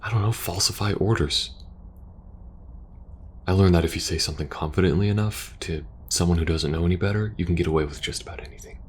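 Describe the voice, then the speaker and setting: A man playing a war-weary, protective soldier in an intimate ASMR audio roleplay, speaking earnestly and hesitantly, sometimes hushed. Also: sits low, fast, a little hiss or hum, close to an everyday voice. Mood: urgent, persuasive. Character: war-weary young male soldier pleading with best friend, protective, earnest.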